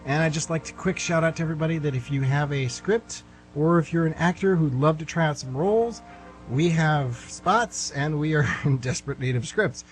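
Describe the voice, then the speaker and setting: A man's voice: fairly high pitch, moderate pace, faint music behind, rough recording. A man hosting a radio theater show, giving an informal introduction. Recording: hum and clear background noise.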